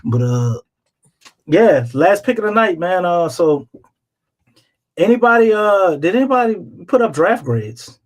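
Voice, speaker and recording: A man's voice: high-pitched, very animated, measured pace, long pauses. An American man hosting a live sports show, chatting casually with slang, jokes and some swearing. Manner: slangy, joking, profane.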